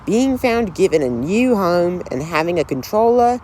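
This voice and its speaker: A man narrating a railway storybook as a scripted read, in a slow, gentle storytelling manner. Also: sits high, very animated, a little hiss or hum, low-quality recording.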